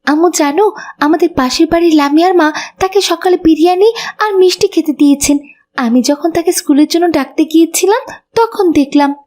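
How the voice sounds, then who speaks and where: Woman voicing a high-pitched, earnest young girl. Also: brisk, mellow. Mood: dutiful. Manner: earnest, moralizing. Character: pious, earnest young girl, gently righteous and dutiful. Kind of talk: children's cartoon voice acting.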